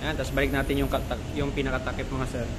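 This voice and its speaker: A man's voice: medium pitch, completely flat delivery, fast, heavy background noise. A man with a Filipino accent chatting casually as a motorcycle vlogger, explaining things conversationally to his viewers and often repeating himself. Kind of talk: casual vlog chat and DIY walkthrough.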